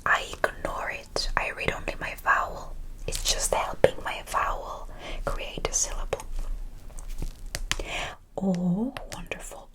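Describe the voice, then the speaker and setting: A woman giving a slow, whispered ASMR Korean lesson, softly encouraging and praising often, close to the mic. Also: mid-range voice, poor audio. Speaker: Korean language teacher.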